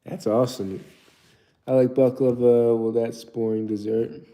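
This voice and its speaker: A man's voice: medium pitch, little pitch movement, unhurried, dark tone. A young man from Turkey chatting casually on a live stream, reading out viewer questions and rambling through his answers.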